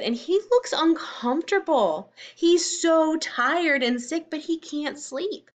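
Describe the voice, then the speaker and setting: Female children's teacher, higher-pitched voice with animated melody, instructive read-aloud delivery. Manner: simple, instructive, expressive phrasing for young children. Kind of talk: children's read-aloud lesson with explanations and questions.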